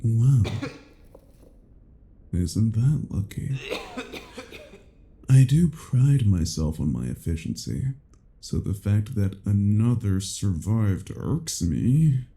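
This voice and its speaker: A man playing a cruel, haughty vampire in a dramatic roleplay, speaking in a deliberate, menacing and condescending way. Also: on the low side, very animated, creaky, warm tone, heavily acted. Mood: scornful, threatening. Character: cruel, haughty male vampire toying with his captive. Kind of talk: dramatic roleplay audio acting.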